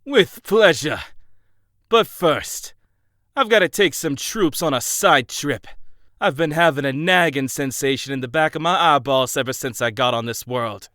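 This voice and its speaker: A man voicing a murderous, obsessed symbiote supervillain in a comic book dub, with a sinister, drawn-out delivery. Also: a little high, bright, forceful tone, dry recording, studio quality. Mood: gleeful menace.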